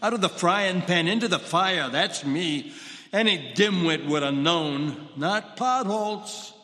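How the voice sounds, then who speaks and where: A man's voice: high voice, expressive, slow pace, pushed and bright, exaggerated voice. A man playing a gloomy Brooklyn cabbie. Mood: complaining. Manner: gruff, complaining, wisecracking. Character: grumbling middle-aged Brooklyn cab driver, gloomy. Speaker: stage actor. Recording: echoey hall.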